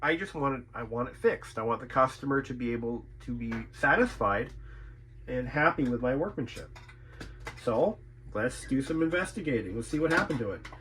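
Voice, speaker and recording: Bright-voiced man thinking aloud over a repair. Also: mid-range voice, expressive, normal speed, slight background noise, low-quality recording. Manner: thinking aloud, repeating himself, mild swearing. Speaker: radio repair technician and channel host. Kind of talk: unscripted talk while inspecting and repairing.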